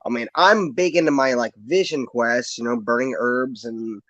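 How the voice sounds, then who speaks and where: A man from the United States with a rural American accent, hosting a casual interview in a folksy, unhurried way. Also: mid-range voice, a bit bright, no room sound, lo-fi sound. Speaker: show host.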